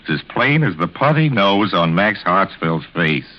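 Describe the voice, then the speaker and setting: An actor playing a condescending, gloating theatrical murderer with a grandiloquent, mocking delivery, in 1940s radio drama style. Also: male voice, deep voice, big pitch swings, measured pace. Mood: smug, mocking. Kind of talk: 1940s radio suspense acting. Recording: dull, noisy vintage radio recording.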